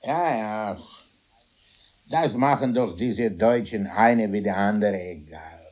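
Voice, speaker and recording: An actor playing a dismissive, grumbling manservant with a colloquial manner in a radio drama. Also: male voice, fairly low pitch, animated, slow pace, a little mellow. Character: dismissive, grumbling manservant gossiping to kitchen maids. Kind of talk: radio drama character acting.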